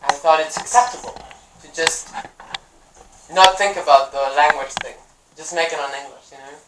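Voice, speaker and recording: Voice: mid-range voice, moderate pace. A filmmaker answering audience questions after a screening, talking fluently but wordily with frequent fillers. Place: cinema screening room. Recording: echoey room with slight noise.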